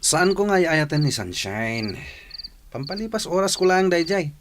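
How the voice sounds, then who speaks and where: A man acts as a careless young Ilocano womanizer in a radio drama. His fairly high voice is flippant and dismissive, over clearly noisy, chirping background sound. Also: sing-song, a little slow.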